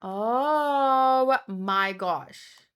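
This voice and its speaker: A woman's voice: on the high side, extremely slow, a bit bright, clear, resonant tone. A woman chatting casually as a streamer, thinking aloud in a rambling, exclamatory way. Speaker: manga read-along streamer.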